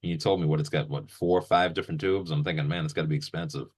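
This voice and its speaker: A man's voice: rumbling low, fairly even intonation, fast talker, darkish tone, slightly rough. A man hosting a casual interview, informal and appreciative in how he talks to his guest. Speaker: interviewer and video channel host.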